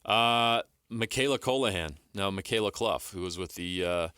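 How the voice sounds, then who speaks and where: A man's voice: fairly low pitch, moderate pace, bright, forceful tone, smooth, no room sound, clean studio sound. A man co-hosting a sports talk show, giving emphatic, opinionated commentary as he counts down a list.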